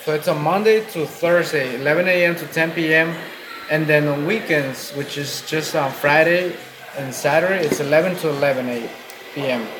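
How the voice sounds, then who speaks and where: A man's voice: fairly high pitch, a little fast, background noise. A young man from Guatemala, co-owner of a restaurant, answering questions in a casual interview, often stopping and restarting his sentences.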